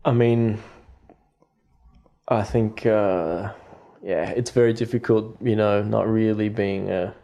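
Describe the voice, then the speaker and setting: Thoughtful Australian man, low mellow voice. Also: steady tone, takes it slowly. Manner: hesitant, many fillers and restarts. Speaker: guest artist. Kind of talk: reflective podcast conversation.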